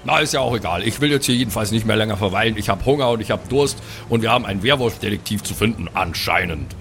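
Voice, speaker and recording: A man's voice: on the low side, animated, fast, a bit bright, faint music behind. A man improvising in a pen-and-paper audio play, switching between in-character banter and casual table talk, wry and playful, with the odd English phrase.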